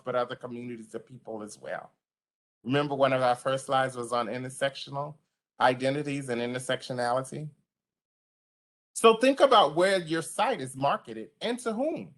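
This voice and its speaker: A woman with a deep voice giving an online training to service providers, speaking passionately and conversationally. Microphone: video call.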